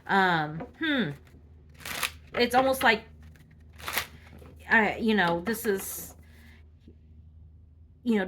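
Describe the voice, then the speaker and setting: A woman lays out tarot cards and talks through them in a loose, wandering way, often pausing on fillers. She sounds a bit congested from a cold, and her voice sits at an ordinary middle pitch. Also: a bit bright, slight background noise. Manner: rambling, halting, full of fillers. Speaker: tarot reader. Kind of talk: casual tarot reading monologue.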